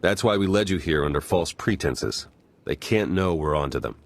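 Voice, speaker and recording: A man's voice: deep voice, animated, normal speed. A man playing an easygoing, wry spy in a video game, casual and matter-of-fact. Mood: wry, businesslike. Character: wry, easygoing male spy briefing his team.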